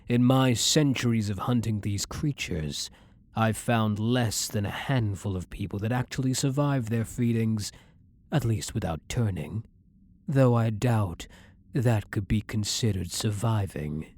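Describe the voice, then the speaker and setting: Casting: male actor as centuries-old vampire hunter for ASMR drama. Blunt, commanding, husky and breathy, unhurried, recorded very dry. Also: fairly low pitch, darkish tone, dry recording. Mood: grim, matter-of-fact. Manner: blunt, commanding, interrogating, slow and deliberate. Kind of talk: ASMR roleplay, intimate dramatic monologue.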